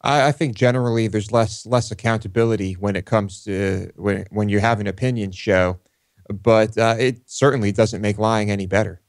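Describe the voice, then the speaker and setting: Lowish-voiced male co-host, halting delivery. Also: steady tone, dry recording, studio quality. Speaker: talk show co-host. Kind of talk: casual discussion.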